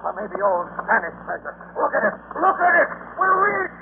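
Commanding, high-pitched sea captain. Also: male voice, very animated, moderate pace, vocal fry, narrow, tinny audio, exaggerated voice. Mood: wildly elated. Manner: barking orders, nautical slang. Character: commanding sea captain, gruff, bold, excitable, hungry for treasure. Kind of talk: 1940s radio drama acting. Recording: noisy, band-limited old radio recording.

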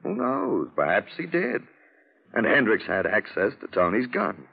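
A suave gentleman detective in an old 1940s radio drama, on a dull vintage recording. His low voice swoops expressively as he lays things out with urbane, witty self-satisfaction. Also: huge pitch swings, measured pace, vocal fry, breathy edge, heavily acted. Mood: amused, self-satisfied. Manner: urbane, theatrical, drawling explanations in lettered points.

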